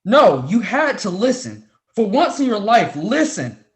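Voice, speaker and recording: A man's voice: high-pitched, sing-song, unhurried, heavily acted. A man playing a stern, proud professor who speaks formally and reprovingly in a dramatic script reading. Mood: angry reproach. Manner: formal, reprimanding. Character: stern, proud male professor, demanding authority figure.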